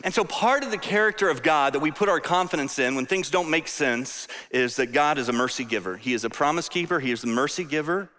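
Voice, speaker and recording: A man preaching a sermon to a congregation, conversational and animated, with asides and rhetorical questions. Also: fairly high pitch, a little fast, hardly any pauses, long reverb tail. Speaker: pastor.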